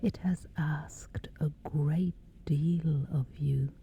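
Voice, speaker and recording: A woman guiding a scripted sleep meditation in a breathy, whispery, hushed and soothing voice, leaving long pauses. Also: low voice, steady tone. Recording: slightly dull, soft music behind. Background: music.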